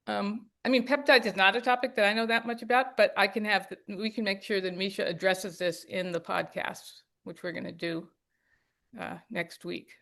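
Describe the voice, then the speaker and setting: A woman's voice: mid-range voice, steady tone, moderate pace, vocal fry, fairly bright tone. A woman hosting a webinar on a video call, talking informally and hedging her answers. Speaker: medical director hosting a webinar.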